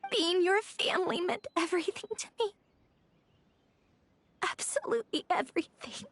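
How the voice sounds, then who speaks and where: A woman's voice: extremely high pitch, expressive, moderate pace, heavily acted. An actress voicing a proud, prickly female automaton scholar who speaks in a curt, dry manner. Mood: tender, remorseful. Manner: curt and dry, softening to sincere. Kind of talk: game voice acting.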